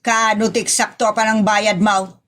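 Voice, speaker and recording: A woman playing an exasperated, sharp-tongued wife and mother in a comedy audio drama, scolding with pointed questions. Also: mid-range voice, level tone, moderate pace, natural voice. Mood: stern.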